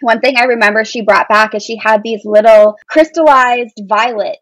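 A woman's voice: fairly high pitch, normal speed, no room sound, rough recording. An American woman in her thirties, a podcast guest telling personal stories in an enthusiastic, informal way. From Boston area, United States. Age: 30s. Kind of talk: casual personal storytelling.

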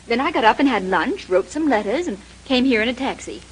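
A composed woman artist in a 1930s radio drama. Her voice is highish and husky, moving widely in pitch. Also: animated, brisk, poor audio. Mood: matter-of-fact.

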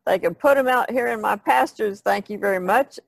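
A woman's voice: medium pitch, a little fast, creaky, dry recording. A woman chatting casually as a panelist on a podcast. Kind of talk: casual chat.

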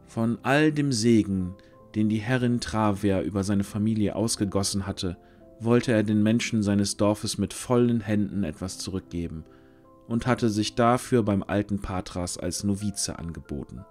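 A man narrating a scripted fantasy audio drama, using dramatic pauses. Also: on the low side, darkish tone. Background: music.

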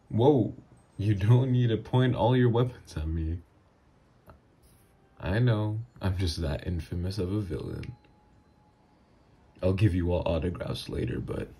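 Male actor as psychopathic, flirty villain ex for ASMR roleplay; dark, mellow tone with swooping intonation, smug and teasing. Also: sits low, gravelly, a little hiss or hum, exaggerated voice. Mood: cocky. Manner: smug, drawn-out, intimate teasing.

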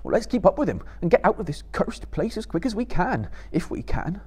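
A man's voice: high voice, very animated, a little fast, a little mellow, breathy edge, pitched above the performer's own voice, full character voice. A man voicing an anxious, humble, loyal hobbit servant in a live book reading, fretful and plain-spoken. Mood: urgent worry.

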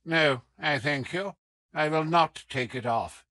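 A man's voice: a little high, slow, pushed and bright, pitched above the performer's own voice. A man voicing a haughty old lord, stiff, impatient and disdainful, in an audiobook.

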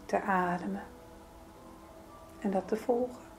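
Female meditation guide, mid-pitched and soothing, taking her time through calm instructions, slight noise behind the voice. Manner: soothing, gentle, unhurried with pauses. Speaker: yoga nidra meditation guide.

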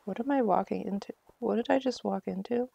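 A woman's voice: medium pitch, a little fast, vocal fry, warm tone, breathy edge, lo-fi sound. A woman giving casual live game commentary as a streamer, chatty and self-deprecating in her manner.

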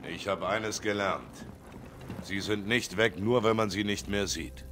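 A man playing a seasoned video game soldier, calm, terse and measured in his delivery. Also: on the low side. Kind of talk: video game voice acting, German dub. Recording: loud game battle noise behind.

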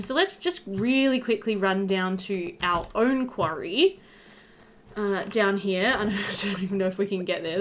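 Lively, chatty woman gamer thinking aloud. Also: mid-range voice, a little hiss or hum. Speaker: gaming video host. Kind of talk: casual chatty let's-play commentary.

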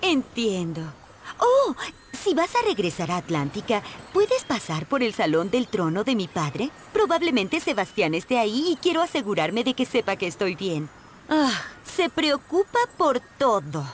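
An eager young mermaid princess for a video game. She sounds high and animated, sweetly earnest in manner, over faint background noise. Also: unhurried. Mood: caring. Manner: earnest, enthusiastic. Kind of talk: animated game character acting.

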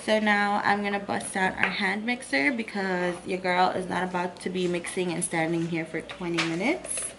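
A woman in her thirties chatting casually through a home cooking and hacks vlog, upbeat and relaxed. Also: fairly low pitch, moderate pace, hardly any pauses, poor audio. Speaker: lifestyle vlogger. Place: home bedroom and kitchen.

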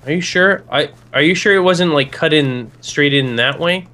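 A young man co-hosting a game playthrough, easygoing and goofy with quick one-liners. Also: fairly high pitch, pushed and bright. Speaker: gaming YouTuber, co-host. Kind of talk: casual let's-play chat.

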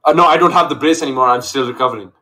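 A young man on a video call through a gaming headset, joking and boasting in a loud, cocky way. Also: mid-range voice, very fast. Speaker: online date contestant. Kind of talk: casual video call banter.

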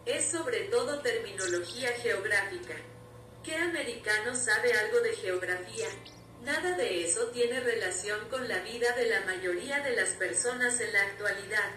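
A higher-pitched woman talks through a wry, opinionated commentary. She sounds set back from the mic in a roomy space. Loud music plays behind her voice the whole time. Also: normal speed, distant mic, slight background noise. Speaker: language learning vlogger. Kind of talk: scripted voice-over commentary.

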